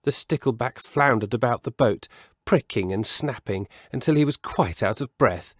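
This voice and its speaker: A man telling a children's story as a scripted, expressive reading, measured and savouring the descriptive words. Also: medium pitch, no echo, clean studio sound.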